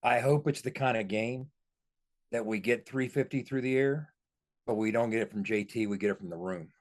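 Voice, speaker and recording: A man's voice: mid-range voice, moderate pace. A man giving sports analysis on a podcast in an emphatic, explanatory way.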